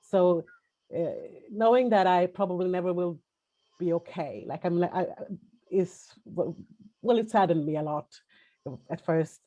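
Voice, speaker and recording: A woman with a Swedish accent, a native Swedish speaker giving personal testimony as a webinar panelist over a video call, halting and hesitant, with many restarts. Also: lowish voice, dark tone, lo-fi sound.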